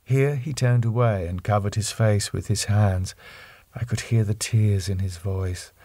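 A man's voice: lowish voice, fairly even intonation, a little slow, dark tone, no echo. A man narrating a classic novel as an audiobook reader.

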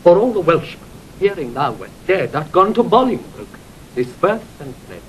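Animated, mournful nobleman. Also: normal speed. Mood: grieving. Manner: mournful, declamatory. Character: loyal nobleman bearing grievous news. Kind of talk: classical Shakespearean verse acting. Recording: rumbly, noisy vintage studio recording.

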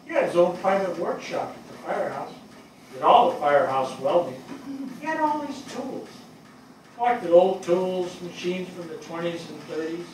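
An older man playing an affectionate, weary fire captain in a staged dramatic reading, telling stories conversationally with pauses and repeats. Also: sits high, sing-song. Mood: fond reminiscing. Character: weary, affectionate fire captain reminiscing about lost men. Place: small theatre stage before an audience. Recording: echoey theatre, far from mic, faint noise.

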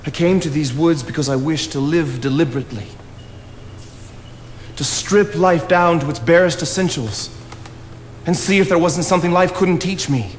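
A man acts an earnest, brooding writer of the 1800s in a stage drama. His voice sits fairly high and he declaims with deliberate conviction. It rings in a theatre with faint background noise. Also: big-room sound, lightly acted. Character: earnest, idealistic 19th-century writer, brooding and impassioned.

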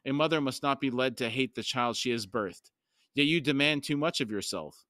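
An ancient female bird creature from a fantasy story, played by a man. She speaks solemnly and formally, stubborn in her loyalty. The voice is bright and forceful, recorded close and dry. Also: mid-range voice, dry recording, close to an everyday voice. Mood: resolute. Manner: solemn, formal, measured phrasing. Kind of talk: audiobook-style fantasy storytelling.

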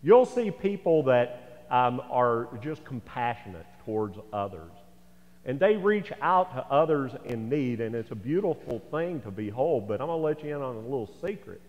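Animated American pastor in an echoey church. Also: male voice, medium pitch, moderate pace. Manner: folksy, rhetorical asides to the congregation. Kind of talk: sermon, conversational preaching. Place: large church sanctuary, indoors. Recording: echoey church hall, slightly dull sound.

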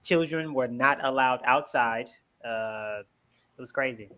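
Bright-voiced young American tutor. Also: male voice, medium pitch, a little slow, clean tone. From United States. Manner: relaxed, easygoing, helpful with corrections. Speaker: online English tutor. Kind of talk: casual video-call conversation. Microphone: headset on video call.

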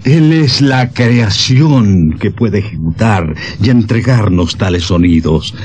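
A man's voice: on the low side, lively intonation, takes it slowly, darkish tone. A man playing an intense, moody, eccentric musical maestro in a radio horror drama, theatrical and emphatic. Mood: proud, secretive.

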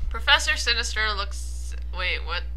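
Young female co-host, very bright and forceful, high-pitched, incredulous, giggly, reads aloud with mocking drama. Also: animated, a little slow, soft background music. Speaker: co-host of a comedic fan fiction commentary. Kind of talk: casual chat mixed with mocking dramatic reading.

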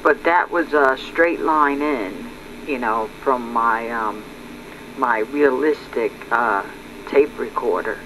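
A man talking casually and unscripted, halting and rambling, often repeating his words, as a hobbyist narrating his home video. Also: mid-range voice. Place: indoors at home. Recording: clear background noise.

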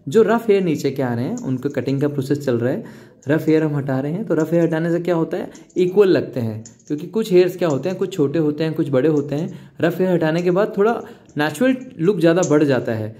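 Higher-pitched Indian man presenting a demonstration. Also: expressive, fast talker, dark tone. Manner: explanatory, mixes in English words. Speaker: hair clinic presenter. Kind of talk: promotional demonstration narration.

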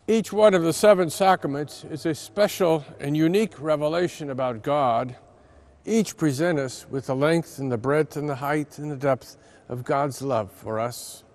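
A man in his seventies with an American accent, a priest reading a scripted reflection in a measured, solemn manner with deliberate emphasis. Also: on the high side, darkish tone. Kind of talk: scripted reflection read aloud.

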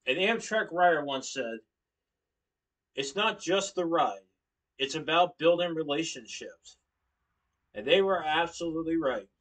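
Young man, informative speech, slightly high voice, distant webcam in a living room. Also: animated, measured pace, long pauses, fairly bright tone. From Connecticut, USA. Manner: standing, gesturing while speaking, occasional self-corrections. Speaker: student giving a speech. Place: living room at home, indoors. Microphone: webcam across the room.

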